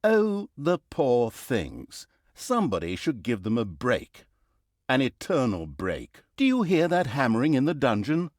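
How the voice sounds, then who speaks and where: A man's voice: medium pitch, huge pitch swings, unhurried, darkish tone, no room sound, studio quality. A man playing a sardonic, theatrical storyteller who wryly narrates a fantasy video game. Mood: dry sarcasm, then mock-serious. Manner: theatrical, wry, sarcastic. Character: sardonic, theatrical male storyteller mocking heroes and scolding the player.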